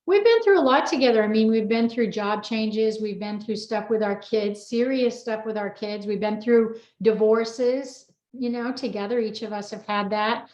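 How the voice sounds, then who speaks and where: A woman's voice: fairly high pitch, normal speed, few pauses, darkish tone. A middle-aged woman hosting an unrehearsed, casual chat in a chatty, informal, rambling way. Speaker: co-author hosting a personal chat. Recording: roomy, dull lo-fi sound.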